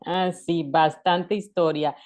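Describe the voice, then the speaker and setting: A woman in her thirties from Colombia, a guest in an interview, talking in a reflective way with restarts and soft laughs. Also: lowish voice, steady tone, takes it slowly. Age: 30s. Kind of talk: conversational interview.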